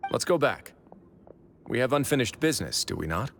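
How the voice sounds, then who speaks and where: An actor playing a composed, authoritative duke in a video game, speaking in a measured, commanding way. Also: male voice, medium pitch, sing-song, vocal fry, fairly bright tone, slight background noise. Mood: calm, commanding. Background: game music.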